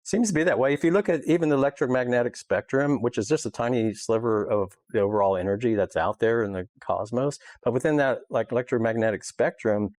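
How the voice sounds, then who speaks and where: A man's voice: mid-range voice, fast talker, hardly any pauses, a little mellow, dry recording, studio quality. A man talking as a podcast guest in a casual, unscripted, rambling and informal way. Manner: rambling, informal, peppered with "you know". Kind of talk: casual unscripted interview talk.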